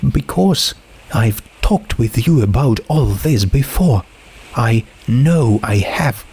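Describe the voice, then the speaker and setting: A man acts out an anxious teenage girl in a dramatic story reading. The delivery is halting and emotional, as if confiding a painful secret. The words come slowly. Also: medium pitch, expressive, darkish tone, breathy edge, voice pushed up, full character voice. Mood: insistent, uneasy. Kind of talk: dramatic fan fiction reading.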